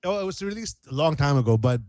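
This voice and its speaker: A man's voice: on the high side, expressive, fast, darkish tone. A man chatting casually as a singer being interviewed, informal and jokey about himself. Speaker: guest singer-songwriter.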